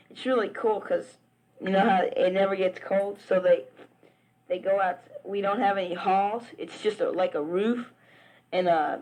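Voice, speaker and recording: A man's voice: high voice, a little mellow, rough recording. A teenage boy from Virginia with a Southern accent talks casually to a friend back home. He rambles from one thought to the next, with plenty of "uh" and false starts, like someone chatting into a tape recorder. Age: teens. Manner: rambling, chatty, full of "uh" and restarts. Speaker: high school wrestler. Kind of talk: casual spoken letter to a friend.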